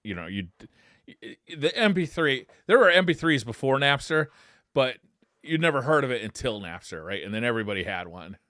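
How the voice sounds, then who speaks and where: A man's voice: medium pitch, very animated, brisk, fairly bright tone, dry recording, studio quality. An American man in his thirties, joking and slangy as he chats casually as a podcast host. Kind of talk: casual chat about retro gaming.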